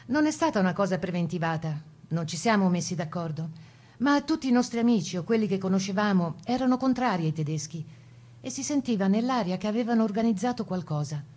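A woman acting a sober, mellow-voiced woman partisan. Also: medium pitch, expressive, fast talker, close to an everyday voice. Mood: sober, recollecting. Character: Italian partisan women recalling the Resistance, sober and resolute. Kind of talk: dramatised testimony reading for radio.

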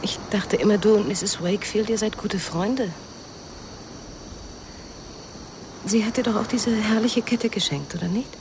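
An actress playing an anxious, suspicious wife in a radio drama, husky and whispery, with a hesitant, questioning manner. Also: female voice, mid-range voice, normal speed, noisy background, close to an everyday voice. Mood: gently probing. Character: anxious, probing wife, soft-spoken and suspicious.

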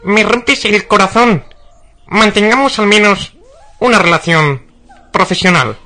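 An actor voicing a clingy animated electronic paperclip in a comic radio play, speaking in a slow, plaintive way over music. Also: mid-range voice. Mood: pleading, wounded. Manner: slow, plaintive, bleating repeated syllables. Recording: music behind the voice.

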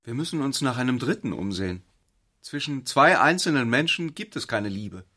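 A disillusioned man at the end of a love affair, in a literary radio drama. His voice sits at a medium pitch. He speaks soberly and reflectively, weighing each statement. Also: animated, normal speed, sharp tone. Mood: sober, resigned.

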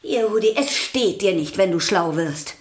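An actress playing the exasperated, sharp-tongued sister of a vain musician in a German radio play, scolding and sardonic. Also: female voice, mid-range voice, lively intonation, moderate pace, lightly acted. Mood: reproachful.

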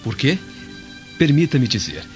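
An actor playing a calm, quietly witty slave who speaks in measured radio-drama delivery. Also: male voice, mid-range voice. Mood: calm, knowing. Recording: dull lo-fi radio drama, music underneath.

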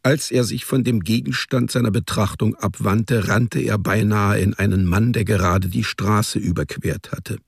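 A man narrating a radio drama as a literary story, in a measured, precise storytelling manner. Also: lowish voice, vocal fry, husky, no echo, clean studio sound.

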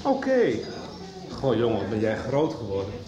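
An actor playing a hesitant, placating middle-aged father in a radio soap, talking in an awkward, halting way. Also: mid-range voice, huge pitch swings, lo-fi sound. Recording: roomy radio drama recording with crowd.

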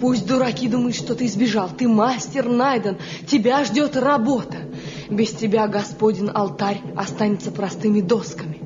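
An actress playing a frightened, devoted wife in a radio drama, imploring and emotional, full of foreboding. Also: female voice, fairly high pitch, normal speed, crowd noise, close to an everyday voice. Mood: urgent, fearful. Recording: muffled, noisy old radio play recording.

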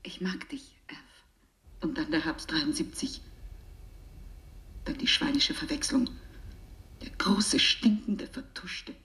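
A bitter, determined woman of the underground in a radio play. Her voice is low and breathy, often sinking to a half-whisper. She speaks slowly and deliberately, in an echoing room. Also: very animated, fairly bright tone, exaggerated voice. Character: resolute, bitter underground activist woman confessing on tape. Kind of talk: radio drama monologue.

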